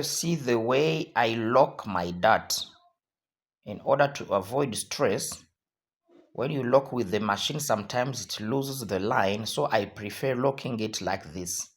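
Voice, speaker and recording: Hoarse male tutorial host, slow and repetitive instructional delivery. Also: mid-range voice.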